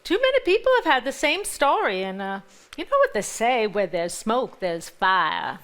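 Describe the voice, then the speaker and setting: An actress playing a folksy, firm-minded believer in a live stage play. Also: female voice, fairly high pitch, big pitch swings, moderate pace, fairly bright tone. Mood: assured. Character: firm, folksy woman who believes in ghosts.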